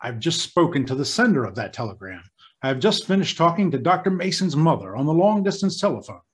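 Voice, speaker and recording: An actor playing a nervous, urgent, insistent hospital doctor in an old-time radio drama. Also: male voice, a little high, very animated, a little fast. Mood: tense, confronting. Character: nervous, agitated male hospital doctor in charge.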